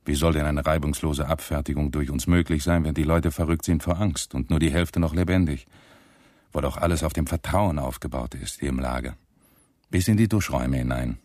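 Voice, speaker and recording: An actor playing a petty, callous low-ranking SS sergeant in a German radio drama, officious and self-pitying as he complains through a diary monologue. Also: fairly quick, a little mellow, dry recording, studio quality.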